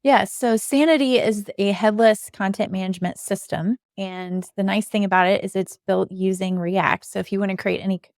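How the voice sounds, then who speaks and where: A woman's voice: medium pitch, fairly even intonation, normal speed, hardly any pauses, darkish tone, dry recording, studio quality. A woman, a podcast co-host, giving a conversational technical explanation as a guest.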